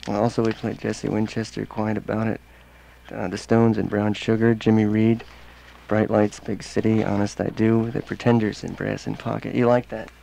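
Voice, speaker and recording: A man hosting a casual radio interview as the DJ, low-key and unhurried, in a studio. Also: level tone, creaky, warm tone. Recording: noisy old radio aircheck.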